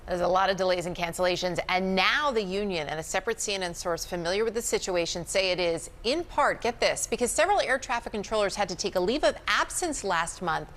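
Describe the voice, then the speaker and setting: Mid-pitched American female news anchor. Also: a bit bright. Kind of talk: news anchoring with conversational reactions.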